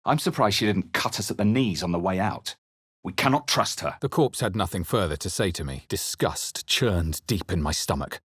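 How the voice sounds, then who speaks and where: A man playing a grave, introspective adventurer hero in a fantasy video game, speaking in a measured, dramatic way. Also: low-pitched, animated, a bit bright, husky, no echo, clean studio sound, heavily acted. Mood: grim, wary. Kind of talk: scripted video game narration and character lines.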